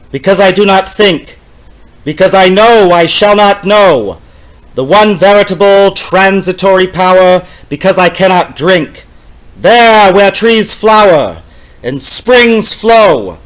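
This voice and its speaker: Male poetry reader, notably high register, solemn and incantatory, with dramatic, measured delivery. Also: rough recording. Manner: solemn, deliberate, theatrical, incantatory.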